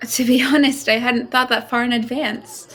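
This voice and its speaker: A woman's voice: fairly high pitch, steady tone, moderate pace, fairly bright tone. An actress playing an earnest, troubled young woman in a period audio drama, with careful, formal, literary phrasing. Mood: polite, hesitant. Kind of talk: dramatic first-person narration and period drama acting.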